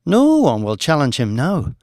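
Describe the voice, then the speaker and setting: A man's voice: on the high side, huge pitch swings, unhurried, darkish tone, dry recording, clean studio sound, pitched above the performer's own voice. A man voicing a firm, formal British woman diplomat in an audiobook. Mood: grave, matter-of-fact. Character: proficient, wary female British diplomat, firm, professional.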